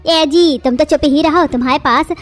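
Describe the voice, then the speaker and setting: Woman voicing a shrill scolding mother-in-law. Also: moderate pace, soft background music, close to an everyday voice. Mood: scornful. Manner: shrill, insulting, shouting tirades. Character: harsh scolding middle-aged mother-in-law. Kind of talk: animated family drama voice acting.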